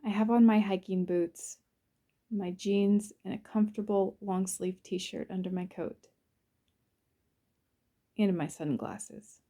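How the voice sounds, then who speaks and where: A woman leads a guided visualization. Her voice is very soft-edged and mellow, at an average pitch for a woman. She speaks soothingly and without hurry, leaving pauses between short phrases as she narrates in the first person. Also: steady tone.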